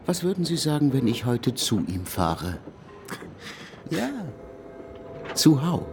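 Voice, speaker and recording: Probing criminologist and prison official: formal, insistent, animated delivery, ambient noise behind. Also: male voice, medium pitch, slow pace. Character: probing, self-assured male criminologist and prison administrator. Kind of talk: German radio play acting.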